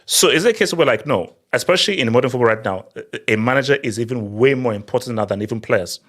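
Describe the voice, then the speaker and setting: A man's voice: mid-range voice, lively intonation, fast talker, a bit bright. A man hosting a casual football discussion, moderating the panel and often repeating words and phrases for emphasis. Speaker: host of a football discussion show.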